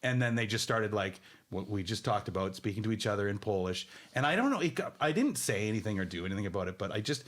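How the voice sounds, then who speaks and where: A man's voice: lowish voice, lively intonation, fast talker, few pauses, fairly bright tone. A man, a real estate agent, telling a story in casual podcast chat; he says he has a big voice.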